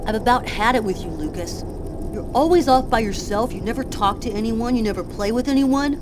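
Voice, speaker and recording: A woman's voice: fairly high pitch, brisk, close to an everyday voice. A woman voicing a bossy but caring child in a video game, blunt in manner, with noise behind. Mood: exasperated, urging. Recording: clear ambient vehicle noise behind.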